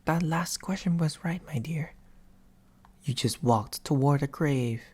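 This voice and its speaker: A man playing a soft-spoken, teasing sleep paralysis demon in an ASMR roleplay, speaking breathily and often whispering, with slow, theatrical intimacy close to the mic. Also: a little high, husky. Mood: eerie. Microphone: close binaural microphone.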